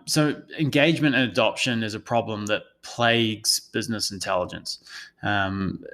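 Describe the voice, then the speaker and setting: Male presenter, New Zealand accent, lowish voice, professional and explanatory, for a business webinar. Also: a bit bright. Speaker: analytics consultant presenting a session.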